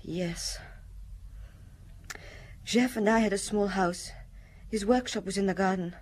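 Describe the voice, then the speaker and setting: A sad, halting young woman. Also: mid-range voice, darkish tone. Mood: sad, remembering. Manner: halting, reflective. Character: sad, quiet young wartime refugee woman, now widowed. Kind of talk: radio drama acting.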